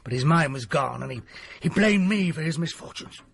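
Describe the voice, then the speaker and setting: An actor playing a haunted scholarly doctor who pleads and flares into rage in a period radio drama. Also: male voice, on the high side, animated, gravelly, slightly rough, heavily acted. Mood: anxious. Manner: pleading, theatrical, quick to rage.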